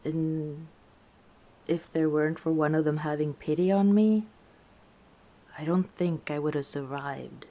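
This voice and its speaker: A woman playing a guarded, gently wry orc tavern owner in an intimate ASMR roleplay, speaking reflectively with hesitant pauses. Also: sits low, fairly even intonation. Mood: weary, hurt. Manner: unhurried, reflective, hesitant pauses, soft chuckles.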